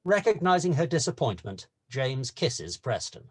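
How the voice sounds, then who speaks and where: A man's voice: mid-range voice, sing-song, normal speed, breathy edge. A man narrating scene headings and stage directions from a script.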